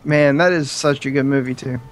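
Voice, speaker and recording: A man's voice: mid-range voice, steady tone, brisk. A man co-hosting a let's-play, laid-back, giving short replies and laughing often. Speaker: co-host. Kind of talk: casual gameplay chat.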